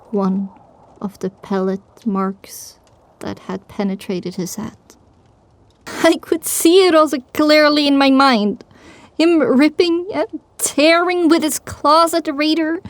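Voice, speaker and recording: A woman playing a determined young female pony who tells her story with grief, in an emotional, halting, drawn-out delivery for an audio drama. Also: sits high, no room sound. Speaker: narrator. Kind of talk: first-person dramatic audiobook narration.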